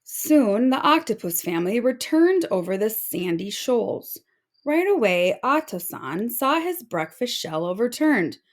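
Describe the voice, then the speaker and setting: Slow, animated woman storyteller. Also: fairly high pitch. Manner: expressive storytelling. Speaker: storybook reader. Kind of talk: children's read-aloud narration.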